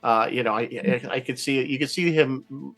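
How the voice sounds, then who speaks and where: A man in a casual roundtable discussion, often repeating words as he thinks out loud. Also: mid-range voice, moderate pace, low-quality recording. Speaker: panelist in a TV series discussion.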